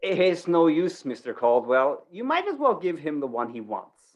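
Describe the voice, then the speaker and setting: A man's voice: fairly high pitch, sing-song, normal speed, muffled recording. A man playing a meek, hesitant, apologetic father and small shopkeeper in an old-time radio comedy, polite and flustered. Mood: flustered, resigned.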